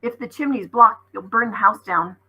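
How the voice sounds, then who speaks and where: Woman acting Ollie, a determined young girl, slightly raspy. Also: medium pitch, normal speed, muffled recording. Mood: firm.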